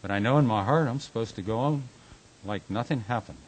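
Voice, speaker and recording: An actor playing an anguished, brooding husband haunted by nightmares in a radio drama, speaking haltingly with big swings in intensity. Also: male voice, mid-range voice, natural voice, low-quality recording. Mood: drained, bitter. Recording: hissy amateur workshop recording.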